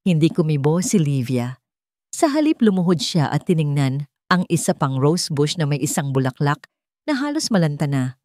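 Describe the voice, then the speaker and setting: Low-pitched woman narrating. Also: big pitch swings, normal speed, darkish tone, no echo, clean studio sound. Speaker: story narrator. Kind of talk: scripted dramatic narration of a sentimental love story.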